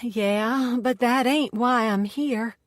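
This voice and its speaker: A plain-spoken Southern woman with an Alabama drawl, put on by a woman reading an audiobook. The voice sits well above a low reading voice and comes out folksy and blunt, full of country grammar. The sound is dry and close. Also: takes it slowly, no echo. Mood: guarded. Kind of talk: audiobook character voice.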